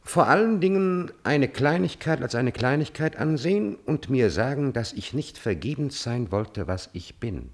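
An actor playing an obsequious, coolly calculating courtier in a classical German radio drama, speaking in a measured, insinuating manner. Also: male voice, medium pitch, expressive, natural voice. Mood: scheming.

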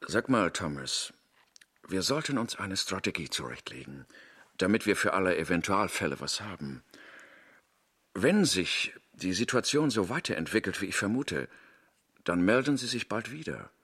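An actor in a German radio play as a suspicious, scheming astronaut, speaking in an insistent, conspiratorial way with a husky voice. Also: male voice, sits low, sing-song, normal speed, a bit bright, heavily acted. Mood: probing.

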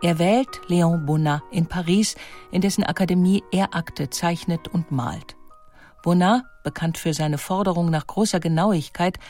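Documentary narrator, mid-pitched voice, scripted delivery, faint music underneath. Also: moderate pace, a little mellow.

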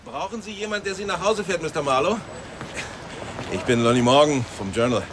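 A man's voice: fairly high pitch, brisk, lightly acted. An actor playing an inquisitive, insinuating male newspaper crime reporter in a radio drama, with a knowing manner. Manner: knowing, suggestive. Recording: city traffic noise behind.

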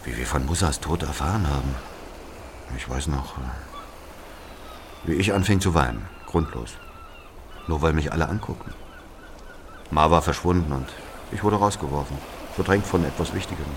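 A man's voice: a bass voice, normal speed, long pauses, noisy background. A man playing a bitter brother of a murdered man, telling his story in an emphatic, conversational dramatic monologue. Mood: confiding. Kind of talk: confiding dramatic monologue to a listener.

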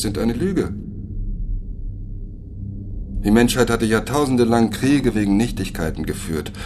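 An actor playing a cold, patient overseer scientist of a virtual world in a German radio drama, lecturing in a measured, condescending way. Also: male voice, lowish voice, noisy background, natural voice.

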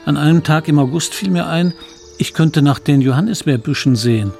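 An actor playing a middle-aged man who looks back on his childhood in a radio play, reflective, confiding and gravelly. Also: a little high, a little fast, a little mellow, soft background music. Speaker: narrator. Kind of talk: dramatic first-person radio play narration.

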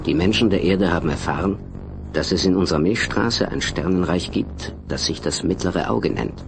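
A man's voice: low-pitched, moderate pace, gravelly, over music. A man narrating a science-fiction radio play with grave, dramatic scripted delivery.

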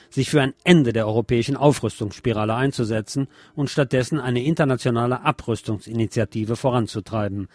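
A man's voice: mid-range voice, darkish tone, no echo. A man presenting on radio, reading scripted news and political commentary.

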